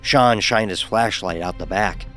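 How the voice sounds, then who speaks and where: A man's voice: on the low side, measured pace, fairly bright tone, soft background music. A man narrating a first-person horror story in a dramatic, wry manner.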